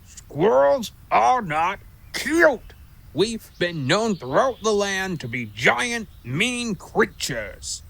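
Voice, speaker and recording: A man's voice: sits high, very animated, extremely slow, vocal fry, a bit bright, full character voice. A man voicing a boastful, grumpy giant talking squirrel in an audio drama, with a blustering storyteller's manner. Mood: indignant, blustering. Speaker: voice actor. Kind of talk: audio drama character acting.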